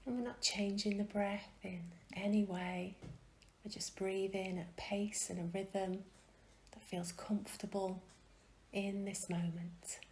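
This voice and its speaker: A woman gently guiding a meditation in a soft, breathy, often near-whispered voice, soothing and unhurried, with long pauses. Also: medium pitch, little pitch movement, a little hiss or hum, rough recording. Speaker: meditation guide. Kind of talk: guided meditation.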